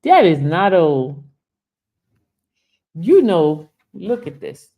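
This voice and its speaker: A woman's voice: low voice, very animated, drawn-out words, dull audio. A woman in her fifties hosting a casual live stream, teasing viewers by name and talking earnestly about her stress. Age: 50s. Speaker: livestream host reading viewer comments.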